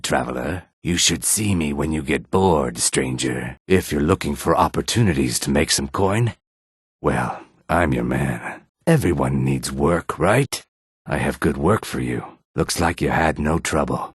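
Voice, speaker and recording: A man voicing a shady, calculating lizard-folk adventurer in a video game, with low husky tones and measured, insinuating formal phrasing. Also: dry recording, studio quality, exaggerated voice. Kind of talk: video game character dialogue.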